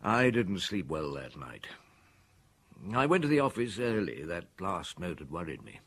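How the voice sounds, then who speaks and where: An actor playing a weary, brusque police chief inspector in a radio drama, gruff and curt. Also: male voice, sits low, very animated, normal speed, fairly bright tone, full character voice. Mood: brooding. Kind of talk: radio drama acting and narration.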